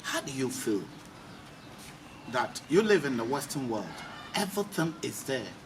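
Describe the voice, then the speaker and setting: A man with a Ghanaian accent hosts an online interview in a medium-pitched voice. He questions emphatically and repeats his points. Clear ambient noise sits behind his speech. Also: sing-song, unhurried. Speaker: host, interviewer. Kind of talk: on-camera interview for an online talk show. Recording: clearly noisy ambient background.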